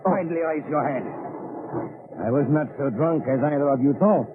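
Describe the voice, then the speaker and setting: An actor playing a sly German army officer in an old-time radio drama, expansive and mock-polite. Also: male voice, on the high side, normal speed, close to an everyday voice. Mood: smug menace. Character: sly, tipsy-seeming German army officer, genial yet menacing. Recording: noisy, tinny old radio recording.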